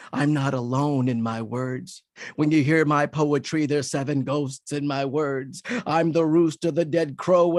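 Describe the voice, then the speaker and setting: A man's voice: fairly high pitch, a little mellow, dry recording, clean studio sound. A man performing spoken word poetry as a poet, with an emphatic, rhythmic and dramatic delivery.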